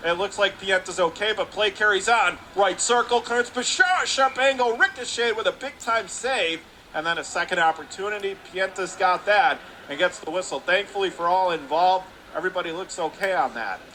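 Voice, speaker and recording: A man in a broadcast booth calling a hockey game play by play, with rapid, clipped calls that climb higher on shots and saves. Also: lively intonation, bright, forceful tone, slight background noise. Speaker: radio play-by-play announcer. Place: broadcast booth above an ice rink.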